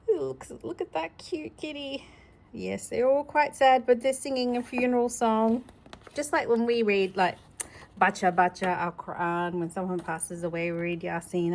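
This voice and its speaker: A woman's voice: medium pitch, vocal fry, a little mellow. A woman reading a picture book aloud to a child, playfully exclaiming and chatting about the pictures. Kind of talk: animated read-aloud with chatty asides.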